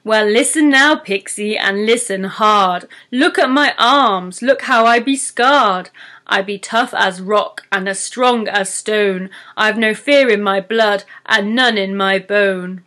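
A woman voicing a giant, greedy, boastful male troll with gruff, bullying talk and broken rustic grammar, in a storybook reading. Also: mid-range voice, slow pace, bright, forceful tone.

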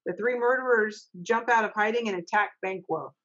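Middle-aged woman, stage-direction narration, medium pitch, lo-fi home video call. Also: normal speed, a bit bright. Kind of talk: reading stage directions in an amateur online readers' theater.

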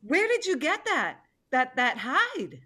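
A woman's voice: sits high, big pitch swings, slow pace, pushed and bright, full character voice. A woman acting a proud, impatient female tiger in a theatrical play reading, imperious and emphatic. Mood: indignant.

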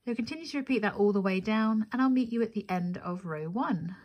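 A woman with a slightly husky voice at a middle pitch guides listeners through a craft tutorial. She goes methodically, one step at a time, and counts aloud as she works. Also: fairly quick, darkish tone. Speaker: crochet tutorial instructor.

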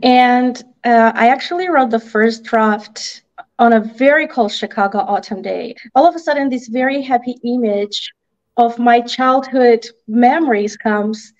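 A woman's voice: a little high, measured pace. A woman in her thirties from Armenia with an Armenian accent, a native Armenian speaker, talking conversationally as an interview guest on a video call. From Armenia (Artsakh). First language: Armenian (Artsakh dialect). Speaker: picture book author, interview guest. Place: indoor room, video call. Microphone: video call microphone. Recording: slightly dull video-call audio.

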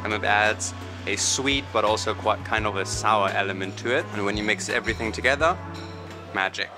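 A man's voice: lowish voice, a little fast. Young man raving about food. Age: young adult. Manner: enthusiastic food description. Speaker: travel vlogger. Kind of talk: travel vlog commentary. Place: indoor snack restaurant. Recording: music bed underneath.

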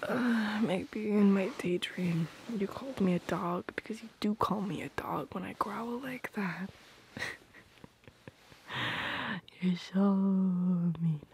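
Woman acting as loving protective girlfriend, ASMR roleplay, whispery, gravelly fry, slow, intimate, softly teasing. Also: medium pitch, a little hiss or hum. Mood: affectionate. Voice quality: breathy, whispery. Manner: slow, intimate, tender murmuring. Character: tender, protective, playfully teasing girlfriend at bedtime. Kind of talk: ASMR romantic roleplay, whispered and soft-spoken. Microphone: close microphone.

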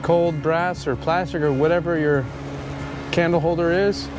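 A man's voice: fairly high pitch, steady tone, moderate pace. A man co-hosting a casual outdoor cooking demo, easygoing and upbeat as he chimes in with tips. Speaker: cooking show co-host. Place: outdoor campsite. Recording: music playing behind.

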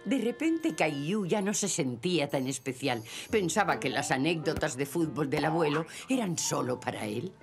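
A woman with a Castilian Spanish accent narrating a children's cartoon in an expressive, gentle storytelling style. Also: deep voice, moderate pace, hardly any pauses, faint music behind.

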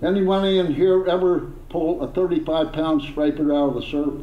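Older man, blunt and riled up, somewhat high voice, podium mic in an echoing hall. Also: fairly even intonation, normal speed, highs cut off. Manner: blunt, agitated, gesturing. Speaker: public commenter. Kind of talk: impassioned public comment. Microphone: podium microphone.

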